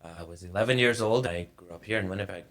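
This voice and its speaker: Reflective young man, low voice. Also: very fast, a bit bright. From Malaysia. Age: late 20s. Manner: thoughtful, reflective, easygoing storytelling. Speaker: guest, mortgage advisor. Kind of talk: casual interview conversation.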